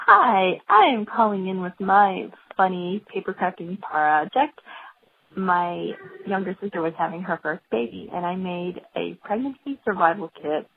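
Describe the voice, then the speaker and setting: A woman's voice: lowish voice, animated, a little slow, creaky, husky. A woman calling in with an eager, running-on description of a gift she made. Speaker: caller. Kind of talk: phone-in message. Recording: phone line.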